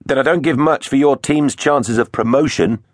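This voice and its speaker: A man's voice: fairly high pitch, big pitch swings, moderate pace, no room sound, studio quality, voice pushed up. A man playing a brave, cheeky dinosaur captain who is the young-spirited hero of a children's storybook. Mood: cocky defiance.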